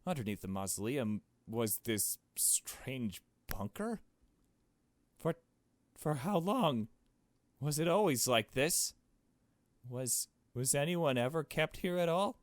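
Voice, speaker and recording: A man reading a visual novel aloud in an expressive, chatty, theatrical way, breaking off to react to it. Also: medium pitch, takes it slowly, pauses often, no echo. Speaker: gameplay narrator.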